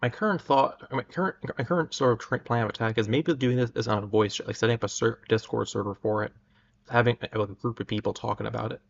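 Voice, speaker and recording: A man hosting a livestream, chatting casually with viewers in a halting, often stammering way. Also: medium pitch, vocal fry, highs cut off. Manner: halting, frequently stammering over words. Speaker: livestream host.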